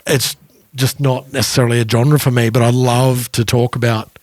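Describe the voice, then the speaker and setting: A man's voice: medium pitch, flat delivery, normal speed, a little mellow, no echo, clean studio sound. A man chatting casually and enthusiastically as co-host of a music podcast, a bit nerdy. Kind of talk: casual chat.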